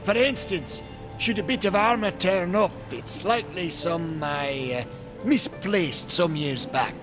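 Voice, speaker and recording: A man's voice: high-pitched, slow pace, music in the background. A man playing a chatty, wily and cowardly Maker trader in a fantasy game, with a folksy, sly way of talking.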